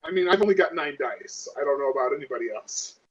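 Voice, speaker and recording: Muffled lo-fi male gamer, slightly high voice, chatting casually as a player. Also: animated, fast talker, breathy edge. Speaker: tabletop game player. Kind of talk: casual table chat.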